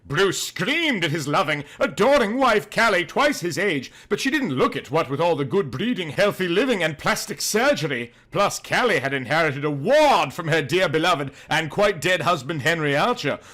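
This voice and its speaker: A man's voice: on the high side, sing-song, normal speed, hardly any pauses, pushed and bright. A man narrating a comic audiobook in a mock-serious, theatrical, overemphatic way.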